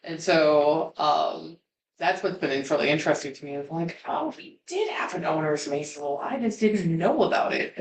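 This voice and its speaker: A woman's voice: low-pitched, lively intonation, moderate pace, slight background noise. A woman in a class discussion, speaking conversationally with frequent "like". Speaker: class participant. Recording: echoey room.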